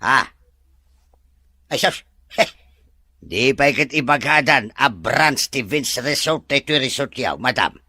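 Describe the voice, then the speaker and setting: A man acting a lively, grumbling resort worker. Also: medium pitch, slow pace, pauses often, slightly rough, dry recording, exaggerated voice. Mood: flustered. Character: plain-spoken, grumbling male resort farm worker, loyal. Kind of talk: Ilocano audio drama acting.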